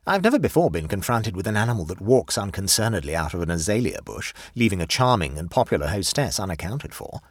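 A man voicing an unruffled aristocratic animal connoisseur in a storybook, measured and pedantic. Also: low-pitched, big pitch swings, breathy edge, no echo, clean studio sound, full character voice.

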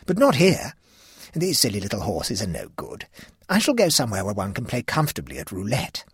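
A man's voice: lowish voice, huge pitch swings, a little fast, breathy edge, dry recording, clean studio sound, pitched above the performer's own voice, heavily acted. A man voicing a cheerful, self-assured old aunt who has taken up gambling. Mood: breezy.

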